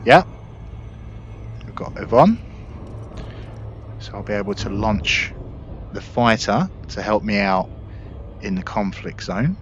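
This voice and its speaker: A man's voice: lowish voice, big pitch swings, slow, pauses often, fairly bright tone. A man giving casual, informal gameplay commentary, explaining things as he plays. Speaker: gaming content creator. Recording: dull mic with background noise. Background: spaceship game sound.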